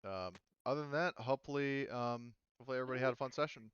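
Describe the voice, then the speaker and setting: A man's voice: medium pitch, rapid-fire, a bit bright, smooth, no room sound, muffled recording. A man casually explaining tabletop game rules as game master, thinking aloud and hedging as he goes.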